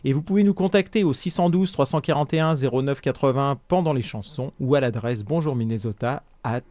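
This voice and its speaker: A man's voice: medium pitch, dark tone, no room sound. Dry-humored male radio co-host. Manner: measured announcer delivery, dry jokes. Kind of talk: radio announcing and chat. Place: community radio studio.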